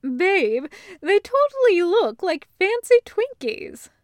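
A woman's voice: extremely high pitch, very animated, slow, no echo, studio quality, pitched above the performer's own voice, heavily acted. A woman reading the part of a playful, slangy woman with a casual, exclamatory, skeptical teasing manner.

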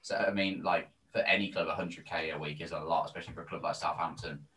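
Bright-voiced, matey young man, slightly distant. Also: low-pitched, steady tone, fast. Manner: blunt, sweary, matey. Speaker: football podcast co-host. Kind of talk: casual sports banter.

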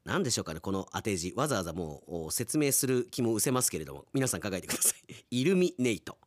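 Male radio host, very animated and swooping in pitch, chatty and wry, casual commentary on listener mail. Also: fast talker. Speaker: radio announcer hosting a radio drama segment.

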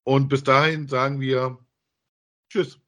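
A man co-hosts a review podcast in a relaxed, conversational style. His pitch sits in an ordinary middle range for a man, and he talks informally, as in a chat between co-hosts. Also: takes it slowly, slight background noise. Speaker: podcast co-host. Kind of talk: casual chat reviewing audio dramas.

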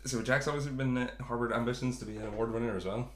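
A man's voice: medium pitch, fairly even intonation, racing, roomy sound, slight background noise. A man hosting a podcast, chatting casually about a sitcom in a rambling, self-correcting way. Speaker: podcast host.

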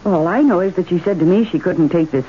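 A woman's voice: on the low side, fast talker, lightly acted. An actress playing a shy, wistful housewife in her late thirties in a radio drama. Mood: puzzled, questioning. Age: 30s. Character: shy, dreamy middle-class housewife nearing forty, wistful and questioning. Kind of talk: 1970s radio drama acting. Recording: dull, noisy old radio drama recording.

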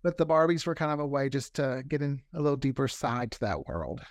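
A man, a podcast creator, chatting casually as a guest and telling stories in an animated way, acting out bits of dialogue. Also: mid-range voice, fairly quick, no echo, studio quality. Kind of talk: casual interview chat.

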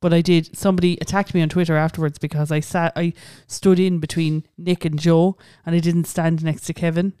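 A chatty Irish woman in her late twenties, talking casually on a podcast and filling her sentences with "like" and the odd swear word. Her voice is smooth and clear, recorded dry and close with no room sound. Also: fairly low pitch, flat delivery, a little fast, hardly any pauses, warm tone, dry recording. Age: late 20s. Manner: chatty, swearing, says "like" a lot. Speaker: podcast co-host. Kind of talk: casual chat.

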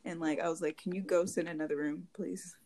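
A woman's voice: fairly low pitch, fairly even intonation, quick pace, warm tone. Giggly young woman, rambling casually. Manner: giggly, rambling with many fillers. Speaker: podcast co-host. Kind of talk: casual chat. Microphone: handheld mic held to mouth.

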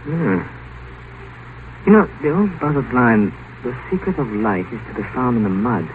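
A man's voice: mid-range voice, sing-song, normal speed, lightly acted. Man playing a brusque scientist, crackly radio. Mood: curious, gruff. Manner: blunt, exclamatory. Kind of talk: 1950s radio drama acting, conversational. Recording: noisy, tinny 1950 radio broadcast.

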